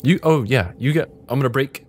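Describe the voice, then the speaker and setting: A man's voice: medium pitch, fairly quick, soft background music. A man hosting a podcast as game master, mixing casual chat with dramatic interactive fiction narration.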